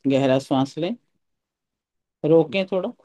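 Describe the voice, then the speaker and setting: A meditation teacher giving calm, soothing guided instructions in short phrases with pauses. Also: mid-range voice, no room sound.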